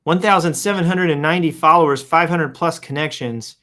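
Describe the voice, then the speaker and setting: A man's voice: on the high side, a little fast, bright, forceful tone. A man hosting a show, casually reading posts aloud and improvising commentary with playful announcer flourishes and wry asides.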